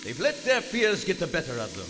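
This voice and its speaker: A man's voice: on the high side, wildly swooping pitch, a little fast. A man acting a stern, proud German submarine captain with formal, commanding diction in live radio theater. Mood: composed, stern. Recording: echoey theater hall, loud hiss.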